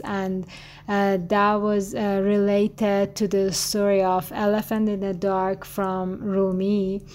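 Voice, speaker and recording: A woman from Iran with an Iranian accent, a native Farsi speaker, answering interview questions in halting English and searching for words. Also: mid-range voice, darkish tone. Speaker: guest artist.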